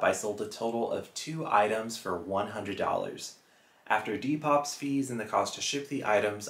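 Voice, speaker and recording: A man's voice: fairly low pitch, a bit bright, reverberant. A man in his thirties from Texas hosting a casual reselling vlog, chatting upbeat to the camera and reading out sales figures. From Houston, Texas. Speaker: reselling channel host. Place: small room indoors, plain wall. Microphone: fixed camera at chest-up framing.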